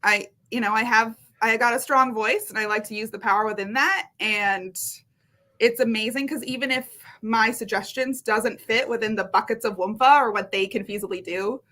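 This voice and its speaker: A woman from Washington state, a guest in an interview, talking in an energetic, chatty way and laughing often. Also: medium pitch, normal speed. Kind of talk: casual interview chat.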